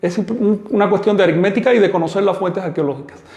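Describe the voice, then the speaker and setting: A man's voice: sits high, fast, a little mellow. Firm man lecturing, echoey room. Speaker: teacher. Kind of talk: live online class answering questions. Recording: echoey room with long reverb.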